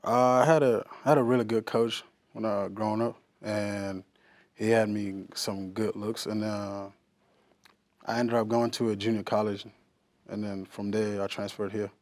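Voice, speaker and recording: A young man who grew up in Australia, a college basketball player answering interview questions haltingly, with many fillers. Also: lowish voice, flat delivery, no room sound. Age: young adult.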